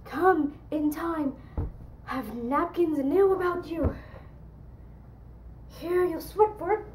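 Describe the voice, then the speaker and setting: A woman's voice: extremely high pitch, slow, a little mellow, roomy sound, a little hiss or hum, voice pushed up. A woman acting a grumbling, mocking male castle gatekeeper in a declamatory Shakespearean stage monologue. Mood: mocking, exasperated. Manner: declamatory, exclaiming, sardonic.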